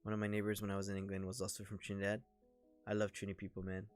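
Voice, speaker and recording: A man's voice: on the low side, completely flat delivery, very fast, clear, resonant tone, soft background music. A man hosting a casual livestream, talking in a rambling, chatty way with many fillers. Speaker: livestream host and scam baiter.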